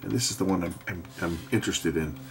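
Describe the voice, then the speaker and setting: A man giving casual hands-on repair commentary, thinking aloud and hesitating as he works, with a gravelly voice. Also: deep voice, fast talker, soft background music. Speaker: host repairing electronics. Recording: background noise with handling clicks.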